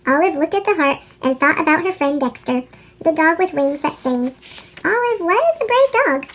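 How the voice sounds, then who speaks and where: A woman's voice: animated, moderate pace, low-quality recording. A woman with a chipmunk voice effect narrating a children's picture book. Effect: chipmunk pitch-shift voice effect. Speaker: storybook narrator.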